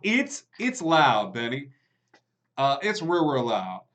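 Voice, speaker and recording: A man's voice: medium pitch, wildly swooping pitch, slow, fairly bright tone. A man running a tabletop game as game master, narrating with frequent hesitations and fillers. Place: online live stream.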